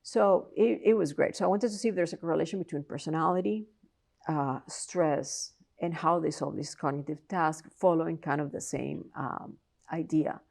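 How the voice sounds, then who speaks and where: Colombian-accented woman with a gravelly edge. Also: low voice, moderate pace, darkish tone. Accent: Colombian Spanish. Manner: earnest and explanatory, with frequent restarts. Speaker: professor and researcher, interview guest. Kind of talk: interview answers.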